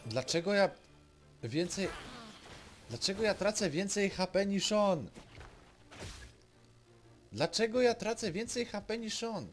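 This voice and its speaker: A man's voice: sits high, expressive, unhurried, pauses often. A man with a Polish accent giving casual, muttered commentary while he plays a video game. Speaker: streamer playing a game. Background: game music.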